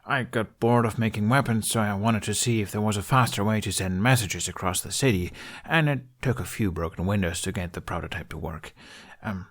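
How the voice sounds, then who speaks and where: A man voicing an awkward young prince who tinkers, hesitant and deadpan, in a story reading. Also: on the low side, natural voice.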